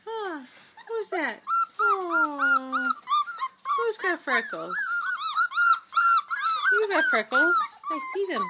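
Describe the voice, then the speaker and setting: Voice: very high voice, huge pitch swings, drawn-out words, long pauses. A person cooing in sing-song baby talk to puppies, repeating short affectionate phrases. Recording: noisy home recording, whimpering puppies. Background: whimpering puppies, clicks.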